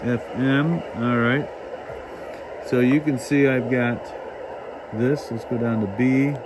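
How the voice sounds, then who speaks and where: A man hosts a casual hands-on demonstration, talking slowly as he works. He thinks out loud in a halting manner, with a medium pitch. Noticeable hissy noise sits behind his voice. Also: steady tone. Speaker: host demonstrating a shortwave radio.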